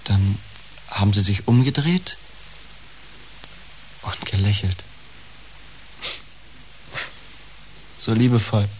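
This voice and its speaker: A man's voice: lowish voice, unhurried, noisy background, lightly acted. An actor playing a needy, emotionally fragile sixteen-year-old boy full of adoration, in a naturalistic radio play. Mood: tender reverence.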